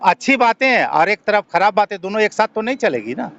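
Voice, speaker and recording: Bihari guy activist in an interview, pretty high voice, keeps asking rhetorical questions, dull lofi sound. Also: very animated, a little fast, gravelly, a bit bright. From Bihar, India. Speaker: interviewee, social activist and former government officer. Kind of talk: spontaneous interview, advocating.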